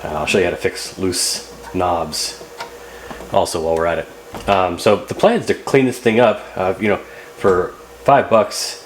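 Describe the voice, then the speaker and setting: A man's voice: sits low, moderate pace, slight background noise, rough recording. A man hosting a repair video, narrating casually and thinking aloud as he works in a loose, rambling way. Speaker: host of a radio repair channel.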